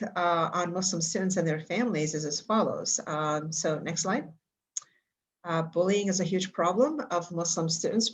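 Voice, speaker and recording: An older woman from Egypt giving a prepared talk with slides at a school board meeting, halting and full of fillers and restarts. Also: low-pitched, low-quality recording. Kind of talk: prepared public comment with slides.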